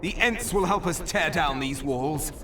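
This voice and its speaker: An actor playing an earnest elf war leader, giving formal, commanding mission dialogue in a fantasy game. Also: male voice, on the high side, animated, a little slow, distant mic, noisy background. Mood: grave.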